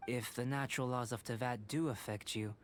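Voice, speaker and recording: An actor playing a calm, polite and detached young male alchemist in a video game, speaking in a measured, formal, articulate way. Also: mid-range voice, a little hiss or hum, natural voice. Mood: intrigued.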